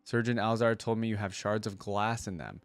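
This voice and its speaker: A man voicing a compassionate, steady young woman mage in a storybook read-aloud. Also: on the low side, moderate pace, no echo, soft background music, close to an everyday voice. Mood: tender.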